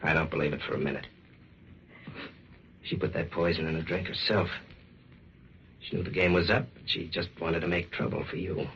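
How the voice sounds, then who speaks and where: An actor playing a firm, no-nonsense police detective, brisk and insistent, with a rough voice in old-time radio suspense. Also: male voice, low-pitched, steady tone, a bit bright, a little hiss or hum. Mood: urgent reassurance. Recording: dull vintage radio recording.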